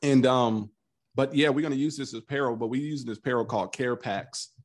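A man's voice: medium pitch, fairly quick. A man talking as a podcast guest, telling his story earnestly and acting out what he once said, often restarting his sentences. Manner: earnest, animated storyteller who voices his past self in quotes; frequent restarts and repeated words. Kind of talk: casual conversational interview.

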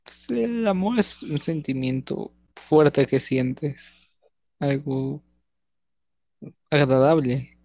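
Teasing guy with a gravelly voice. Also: fairly high pitch, drawn-out words, long pauses, darkish tone, no echo. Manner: teasing, laughs often. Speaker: podcast co-host. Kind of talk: casual chat.

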